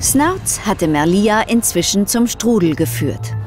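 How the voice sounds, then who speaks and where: A woman's voice: mid-range voice, very animated, unhurried, loud music behind the voice. A woman narrator reading a scripted children's adventure story.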